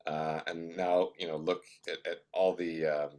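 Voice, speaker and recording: A man's voice: deep voice, flat delivery, unhurried, darkish tone. A man in his fifties, a professor and physician, speaking thoughtfully on a video panel call and often saying "you know".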